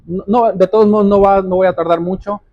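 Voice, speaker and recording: A man's voice: high-pitched, steady tone, a little fast, no room sound, highs cut off. A man preaching a sermon, explaining scripture didactically, repeating key phrases and putting direct questions to his listeners. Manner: addresses listeners directly as brothers.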